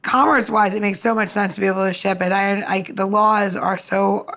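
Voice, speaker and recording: A woman's voice: medium pitch, steady tone, a little fast, vocal fry, a bit bright. An American woman co-hosting a podcast, talking casually in a chatty, emphatic way. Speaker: podcast co-host. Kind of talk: casual chat and cooking tips.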